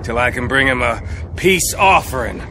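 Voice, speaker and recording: An actor playing a cocky, wheedling young outlaw in a Wild West video game, with an American Western accent and a gravelly voice. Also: male voice, medium pitch, lively intonation, moderate pace, background noise. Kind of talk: video game cutscene acting.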